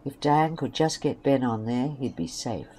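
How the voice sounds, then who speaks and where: A woman's voice: rumbling low, lively intonation, normal speed, mellow. A woman reading scripted story narration for an amateur audio drama.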